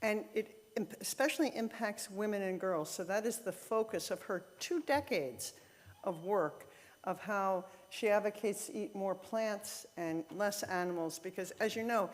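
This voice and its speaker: A woman leading a church service, speaking informally and a little haltingly to the congregation. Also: mid-range voice. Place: church sanctuary, indoors. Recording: echoey hall with long reverb.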